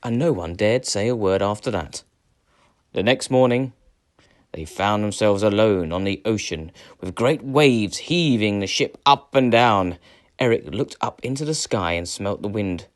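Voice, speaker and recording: A man reading a children's adventure tale aloud as a storyteller, with dramatic, measured phrasing. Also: fairly low pitch, expressive. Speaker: storyteller, teacher.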